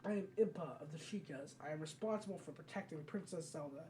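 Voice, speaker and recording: A solemn woman guardian from a fantasy video game, voiced by a player reading aloud. The delivery is measured and instructive, with a dark, mellow tone. There is slight noise in the home recording. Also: medium pitch, far from the mic, lightly acted.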